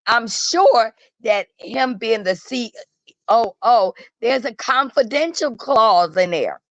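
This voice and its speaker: A woman's voice: fairly high pitch, animated, takes it slowly, gravelly, fairly bright tone, no echo. A woman giving casual gossip commentary as a guest, emphatic and matter-of-fact, with colloquial phrasing. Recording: dull, lo-fi call audio.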